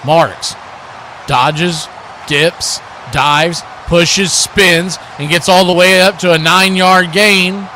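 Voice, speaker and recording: A man's voice: high voice, steady tone, very slow, pushed and bright, slight background noise. A man calling live football play-by-play in punchy short phrases with colourful action verbs. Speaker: play-by-play commentator, watch party host. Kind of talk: live American football radio-style commentary.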